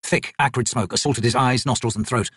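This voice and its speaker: A man's voice: medium pitch, steady tone, fairly quick, a bit bright, breathy edge, no echo, clean studio sound. A man reading scripted fantasy fiction as an audiobook narrator.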